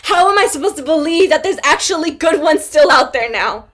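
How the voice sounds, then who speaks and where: Brief: high-voiced actress playing a grieving young mother-to-be, raw and halting. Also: expressive. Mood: bitter. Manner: halting, trailing into quiet, near-whispered confessions. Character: grieving young pregnant woman, frightened and raw. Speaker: actor in a staged play reading. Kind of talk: staged play reading.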